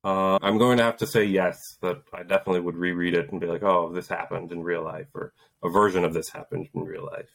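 A man's voice: sits low, little pitch movement, quick pace, vocal fry, few pauses, fairly bright tone. A man in his thirties, raised in Poland, a soldier and author telling earnest, reflective stories as an interview guest. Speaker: guest, Green Beret and author. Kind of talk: casual interview storytelling.

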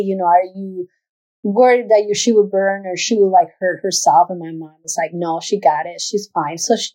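A woman's voice: mid-range voice, warm tone, rough recording. A woman from Ecuador with an Ecuadorian Spanish accent, answering conversationally as an interview guest. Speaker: interview guest, executive director.

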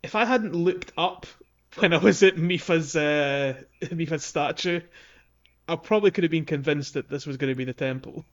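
A man hosting a podcast, talking casually through a game walkthrough in a halting, explanatory way. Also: fairly high pitch, highs cut off. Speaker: podcast host.

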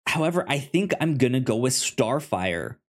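Halting, stammering man in casual chat. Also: medium pitch, very animated. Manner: halting, stammers and repeats syllables and words. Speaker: podcast co-host. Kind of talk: casual chat about comic books.

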